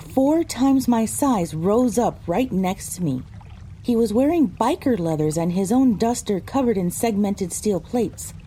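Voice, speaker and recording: A woman playing a pony who narrates her own adventure in a measured, descriptive storytelling style. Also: mid-range voice, mellow, a little hiss or hum, close to an everyday voice. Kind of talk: scripted first-person story narration.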